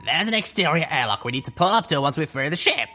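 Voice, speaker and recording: A man's voice: on the high side, sing-song, fast talker, bright, forceful tone, slight background noise, pitched above the performer's own voice. A man doing a wry, bold pony mare. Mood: brisk. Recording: music playing underneath.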